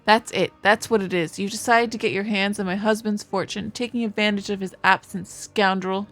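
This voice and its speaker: A woman's voice: medium pitch, steady tone, moderate pace, a bit bright, lightly acted. Woman voicing an outraged young wife, visual novel character, defiant, dramatic, emphatic, music underneath. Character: indignant, defiant young wife accusing an impostor husband.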